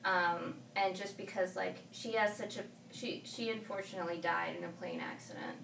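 A woman's voice: fairly low pitch, steady tone, moderate pace, creaky, fairly bright tone. A woman whose first language is Spanish, chatting casually as a singer being interviewed. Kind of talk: casual conversational chat. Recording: echoey, noisy room, distant mic.

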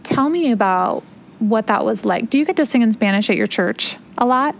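A woman's voice: fairly high pitch, expressive, normal speed, creaky, slight background noise. A woman interviewer who prompts her guest with short questions and murmured agreement. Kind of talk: podcast interview.

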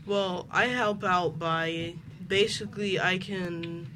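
A twelve-year-old boy answering interview questions casually, haltingly and earnestly rephrasing as he goes. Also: high-pitched, steady tone, sharp tone, a little hiss or hum. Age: twelve years old. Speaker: homeschooled student guest.

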